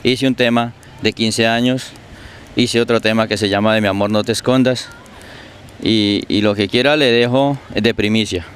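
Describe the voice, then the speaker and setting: A man's voice: lowish voice, fairly even intonation, moderate pace, a little hiss or hum. A middle-aged man with a Colombian accent giving casual interview answers outdoors as a musician guest. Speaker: musician guest, singer-songwriter. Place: outdoors in a park. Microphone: handheld interview microphone held by host.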